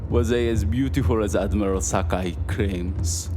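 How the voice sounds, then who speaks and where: An actor playing a menacing Japanese military officer in an audio drama, taunting with formal contempt. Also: male voice, on the low side, moderate pace, natural voice. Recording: loud music under the voice.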